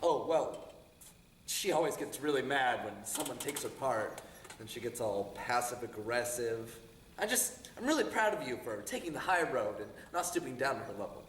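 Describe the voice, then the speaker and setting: A man's voice: mid-range voice, lively intonation, moderate pace, fairly bright tone, a little hiss or hum, lightly acted. A man playing a chatty friend offering backhanded encouragement. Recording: echoey room.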